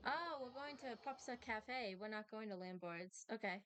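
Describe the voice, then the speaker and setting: A woman's voice: a little high, expressive, normal speed, a bit bright, a little hiss or hum. A woman streaming a video game with casual, exclamatory, sweary reactions. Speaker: livestream gamer.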